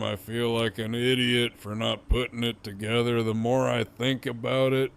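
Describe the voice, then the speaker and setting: Casting: male actor for gruff, weary, sardonic captive in audio drama; unhurried, creaky, teasing delivery. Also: medium pitch. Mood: curious, wry. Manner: slow, wry, teasing drawl.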